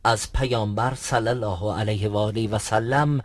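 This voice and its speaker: A man's voice: fairly low pitch, steady tone, no echo, lightly acted. A man playing a learned, earnest religious teacher in an educational cartoon, explaining in a formal, didactic way. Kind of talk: scripted educational cartoon dialogue.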